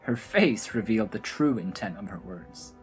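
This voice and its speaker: A woman's voice: rumbling low, expressive, moderate pace, soft background music. A woman narrating a scripted fantasy audio drama as its storyteller.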